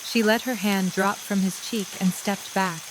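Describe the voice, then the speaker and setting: A woman narrates a scripted story in a mid-range voice. She reads descriptive prose as the narrator of an audio drama. Rain is clearly heard behind her. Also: mid-range voice, steady tone, unhurried, noisy background. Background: rain ambience.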